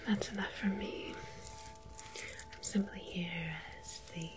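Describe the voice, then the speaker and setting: A woman playing a mysterious, gentle tarot medium in an ASMR roleplay, speaking in a soft breathy whisper with deliberate pauses. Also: medium pitch, fairly even intonation, music in the background, close to an everyday voice. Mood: mystical, solemn. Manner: slow, hushed, deliberate, with long pauses. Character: mysterious gentle female tarot medium between two worlds.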